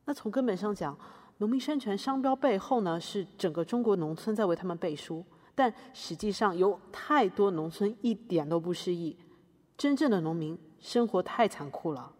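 A woman's voice: mid-range voice, expressive, normal speed, darkish tone, lightly acted. A woman playing an indignant, sarcastic witness in a scripted mock trial, full of rhetorical jabs. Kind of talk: scripted audio drama reading. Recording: long hall reverb.

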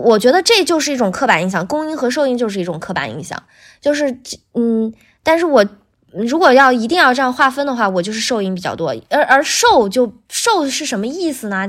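High, thin-voiced lively woman. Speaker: podcast guest, voice actor. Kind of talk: casual podcast conversation.

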